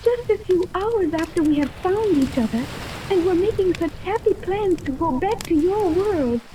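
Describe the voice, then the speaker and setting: Very high-voiced earnest radio girl. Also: lively intonation, moderate pace. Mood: anxious, earnest. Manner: earnest, carefully spoken. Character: earnest, anxious young island girl, long-lost daughter. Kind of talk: 1930s radio serial acting. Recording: muted, faintly hissy 1930s radio recording.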